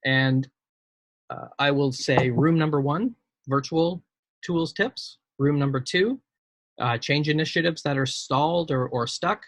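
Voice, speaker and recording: Husky man facilitating an online meeting. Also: fairly high pitch, a little slow, dry recording. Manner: explains steps methodically, thinking aloud. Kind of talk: casual online meeting talk. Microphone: video call.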